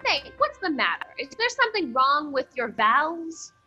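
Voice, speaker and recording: A very high, bright voice for a ditzy, brazen young lady sleuth. She swoops around with blithe, chatty eagerness in a comic radio play. Music plays behind her in slightly muffled audio. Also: very animated, moderate pace, exaggerated voice. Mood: snippy. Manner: breezy, chatty, blithely unconcerned. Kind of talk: comic old-time radio play acting.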